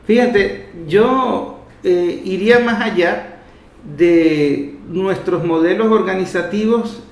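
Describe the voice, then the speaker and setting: A man's voice: sits high, slow. A Venezuelan man hosting a radio interview, speaking in long, discursive sentences. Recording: roomy echo, faint music behind.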